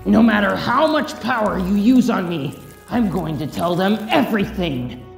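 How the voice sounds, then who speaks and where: A woman's voice: medium pitch, lively intonation, vocal fry. Woman voicing a defiant, swooping young hedgehog hero. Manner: halting, anguished, dramatic delivery. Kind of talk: scripted fan comic dub. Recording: echoey voice over background music.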